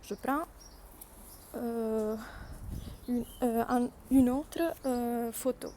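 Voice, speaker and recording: A woman's voice: fairly high pitch, fairly even intonation, drawn-out words, dark tone, slight background noise. A woman whose first language is Italian, leading a workshop and demonstrating an app step by step, narrating each click with small hesitations. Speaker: workshop leader teaching learners.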